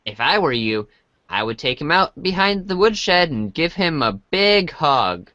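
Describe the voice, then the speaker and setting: Earnest, excitable boy voiced by an amateur, forceful bright tone, lively swings, chatty reader's theater delivery. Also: mid-range voice, measured pace, no room sound. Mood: sympathetic. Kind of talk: amateur reader's theater, reading chat messages.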